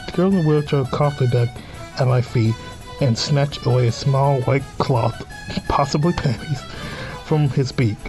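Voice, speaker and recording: A man with a mid-pitched voice plays host to a visual novel let's play. He reads the text aloud and slips into casual asides of his own. Game music runs behind him. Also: a little slow, poor audio. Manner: informal asides between read-out lines.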